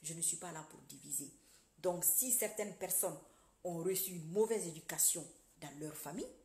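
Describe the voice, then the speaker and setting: A woman giving a casual political monologue to her online followers. She speaks straight to the viewers in a preachy, animated way, swinging between loud emphatic bursts and quiet muttered asides. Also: fairly low pitch, normal speed, echoey room. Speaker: social media commentator.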